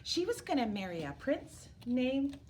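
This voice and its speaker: A woman reading a picture book aloud to young children as a storyteller, animated and emphatic, drawing words out for drama. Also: high voice, a little slow, slight background noise. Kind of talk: expressive children's read-aloud.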